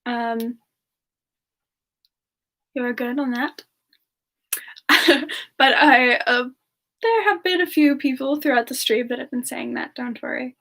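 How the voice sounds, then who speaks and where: A woman voicing a gentle, polite female demon in a soft-spoken, hesitant semi-ASMR chat with viewers. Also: high-pitched, takes it slowly, long pauses, heavily acted. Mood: warm, flattered. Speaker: streamer answering viewer questions in character.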